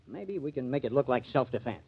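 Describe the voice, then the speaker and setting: A man's voice: medium pitch, lively intonation, fairly quick, slightly rough, dull audio. A man playing a shrewd investigator in a 1950s radio mystery, urgent, probing and emphatic. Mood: pressing. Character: shrewd, pressing male investigator and confidant. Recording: thin, band-limited old radio recording.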